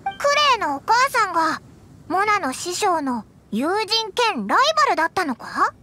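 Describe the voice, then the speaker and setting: Tiny female fairy sidekick, squeaky very high voice, animated pitch, blunt chatter, anime game. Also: normal speed, slight background noise, full character voice. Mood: puzzled. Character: tiny, excitable floating fairy companion girl. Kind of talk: Japanese anime game voice acting. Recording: game music behind the voice.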